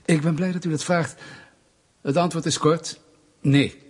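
An actor playing a patronizing, sardonic Soviet official in a radio drama, mock-polite and bullying. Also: male voice, a little high, fairly even intonation, unhurried, slightly rough. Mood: condescending, mocking. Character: menacing, condescending male Soviet state official, bureaucratic bully.

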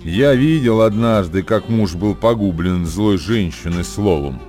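A man playing a worldly sage who recites old wisdom verse for radio in a measured, declamatory way. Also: lowish voice, expressive. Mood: knowing, rueful. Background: music.

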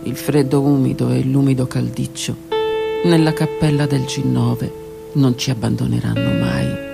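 A woman reading her own literary text aloud on the radio, in a slow, intimate voice that often drops to a whisper. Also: a bass voice, lively intonation, vocal fry. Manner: slow, intimate, hushed delivery with whispered words. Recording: radio broadcast with faint music.

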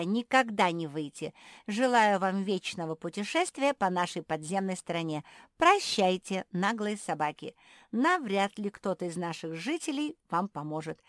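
A woman's voice: medium pitch, dry recording, studio quality, voice pushed up. A woman voicing a haughty, vindictive female frog in a children's storybook, indignant and imperious.

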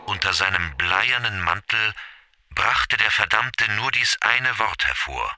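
An actor playing a stern announcer who reads classical quotations in a formal, declamatory way. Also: male voice, lowish voice, expressive, measured pace, pushed and bright, no echo, studio quality, exaggerated voice. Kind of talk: scripted quotation reading.

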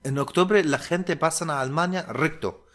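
Young Syrian man in halting Spanish. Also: on the high side, fairly bright tone. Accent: Arabic. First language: Arabic. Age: young adult. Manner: halting, searching for words in broken Spanish. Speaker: guest. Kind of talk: interview, telling his story.

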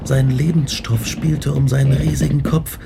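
Ein Schauspieler als gequälter junger Wissenschaftler, knarrende Stimme. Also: male voice, mid-range voice, flat delivery, moderate pace, creaky, noisy background, close to an everyday voice. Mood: haunted, grave. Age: young adult. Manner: brooding, literary, earnest. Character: haunted, remorseful young male scientist. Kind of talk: radio drama narration and dialogue.

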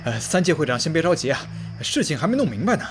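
A diplomatic guild chief in a game-world radio drama. His fairly high voice moves widely in pitch as he placates and reasons, music clearly behind. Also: big pitch swings, fast. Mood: placating. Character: diplomatic guild leader acting as peacemaker. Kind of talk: Chinese audio drama acting.

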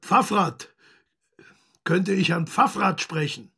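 A man's voice: sits high, takes it slowly, creaky, bright, forceful tone, slightly rough, heavily acted. An actor playing an imperious older man in a radio drama, clipped and commanding as he speaks on the telephone. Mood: curt, commanding.

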